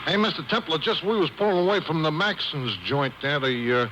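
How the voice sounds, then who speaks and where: An actor playing an amiable, slow-witted cab driver in a 1950s radio drama, slangy, chatty and comically puzzled. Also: male voice, fairly high pitch, lively intonation, fairly bright tone. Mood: puzzled, affable. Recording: dull, noisy cassette of old radio broadcast.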